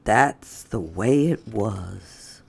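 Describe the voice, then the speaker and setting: A man's voice: fairly low pitch, lively intonation, extremely slow, vocal fry, husky. A man reading a children's storybook aloud as a storytime host. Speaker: storytime reader.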